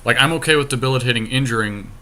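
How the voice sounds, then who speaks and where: A man's voice: medium pitch, rapid-fire, pushed and bright. A man co-hosting a podcast, giving casual play-by-play of a card game with dry, teasing banter. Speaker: podcast co-host.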